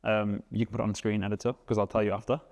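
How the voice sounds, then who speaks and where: A man, chatting casually as a guest, relaxed and rambling with lots of fillers. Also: lowish voice, quick pace, gravelly. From England. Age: 20s. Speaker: guest, vegan cook. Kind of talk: casual interview chat.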